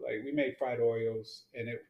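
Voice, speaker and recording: A man's voice: mid-range voice, fairly even intonation, moderate pace, highs cut off. A man in his thirties, co-hosting a casual podcast chat, talking in a laid-back, slangy way and laughing often. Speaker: podcast co-host. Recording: echoey room.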